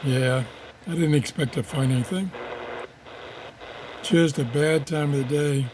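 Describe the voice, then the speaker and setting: Guy doing a casual gadget demo, slightly high voice, mutters as he goes, bit of static noise in the room. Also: steady tone, measured pace. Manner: unscripted, muttering to himself while working. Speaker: radio reviewer. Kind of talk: casual hands-on product demonstration. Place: home office. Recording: clear background noise of radio static. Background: radio static and tuning noise.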